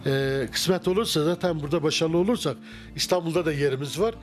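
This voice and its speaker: A middle-aged man, a restaurant owner, answering interview questions in a relaxed, halting way with many fillers and restarts, inside a wooden-walled restaurant. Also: fairly high pitch. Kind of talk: casual interview. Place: wood-paneled restaurant interior. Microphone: handheld mic held by interviewer.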